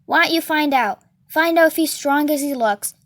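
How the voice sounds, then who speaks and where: A woman's voice: sits high, normal speed, a bit bright, dry recording, clean studio sound. A woman voicing a brash, spiteful storybook woman who gossips and goads in a slangy, taunting way. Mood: goading.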